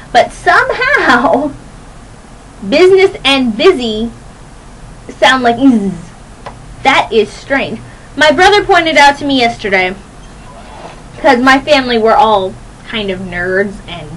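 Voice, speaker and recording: A young woman hosting a radio show, rambling casually to listeners in a chatty, playful, animated and giggly way. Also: high voice, slight background noise, poor audio. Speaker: radio host.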